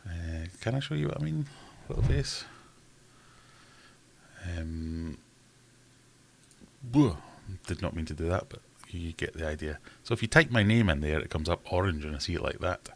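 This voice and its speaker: A man's voice: low-pitched, expressive, normal speed, gravelly, pauses often. A man hosting a casual livestream from home, rambling and self-deprecating as he reads out chat comments. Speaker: livestream host.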